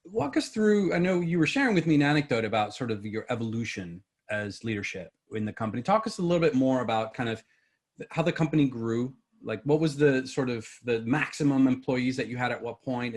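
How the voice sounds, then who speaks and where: A man's voice: medium pitch, lively intonation, fairly quick, a little mellow. Casual male podcast host. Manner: loose, conversational questions with many fillers. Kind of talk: podcast interview.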